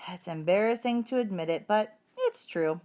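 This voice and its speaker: Animated woman reading a children's story. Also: mid-range voice, normal speed. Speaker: story reader. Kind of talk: expressive children's storybook narration.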